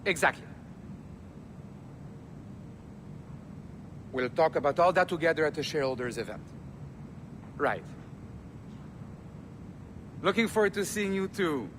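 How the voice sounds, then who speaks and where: A man's voice: on the high side, lively intonation, normal speed, long pauses, a bit bright, slight background noise. A man playing a breezy, self-important company executive with a French Canadian accent, chatty and casual, in a video game scene. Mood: breezy, upbeat. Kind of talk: video game cutscene acting.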